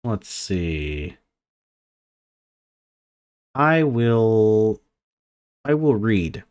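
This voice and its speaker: A man's voice: fairly low pitch, very animated, very slow, a little mellow. A man hosting a gaming video, thinking aloud with casual swearing as he comments and reads game text aloud. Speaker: game player and host of a let's play.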